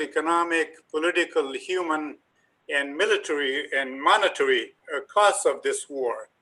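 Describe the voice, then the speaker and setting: An older professor from Afghanistan, a native Dari and Pashto speaker, gives a talk in a somewhat high voice. His tone is bright and forward, and he works through his points with frequent ums and uhs. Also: poor audio. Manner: measured, hesitant, many ums and uhs. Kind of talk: lecture to a community group.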